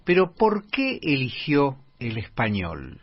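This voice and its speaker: A male radio presenter with an average-pitched voice introduces his guest and puts questions to her in a formal style. The audio is dull and lo-fi, like an old radio broadcast. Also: huge pitch swings, takes it slowly, slightly rough. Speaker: radio host.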